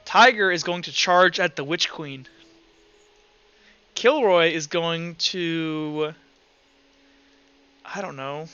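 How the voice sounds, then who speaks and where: Bright, higher-voiced man running a game. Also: slow, long pauses, clean tone, no echo, highs cut off. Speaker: game master. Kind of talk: improvised tabletop game narration, casual.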